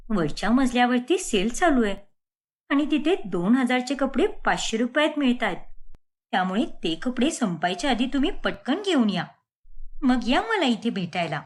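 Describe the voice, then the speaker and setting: An image-conscious young daughter-in-law acted by a female narrator in a cartoon family drama. Her voice is fairly high and very animated, with a defensive, reproachful way of explaining herself. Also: normal speed, lightly acted.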